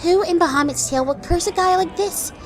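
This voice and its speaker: A woman playing a brash, sharp-tongued goblin in a fantasy audio drama, blunt and scornful. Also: very high-pitched, brisk, faint music behind. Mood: scornful, impatient.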